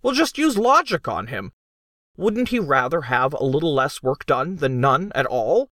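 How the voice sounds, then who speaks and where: A man's voice: on the high side, big pitch swings, a little slow, a bit bright, no room sound, studio quality, pitched above the performer's own voice. A man voicing a weary, earnest man trapped as a gnome, arguing his case. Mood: persuasive. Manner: earnest, reasoning.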